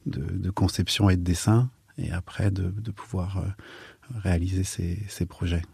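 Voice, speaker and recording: A French man, an architect, answering interview questions in a measured, soft way with many fillers. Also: low voice, steady tone, creaky, breathy edge, dry recording.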